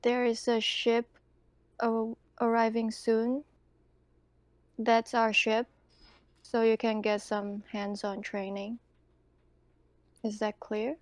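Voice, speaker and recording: Female, businesslike tabletop roleplay briefing, flat intonation, close dry sound. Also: on the high side, takes it slowly, long pauses, no echo.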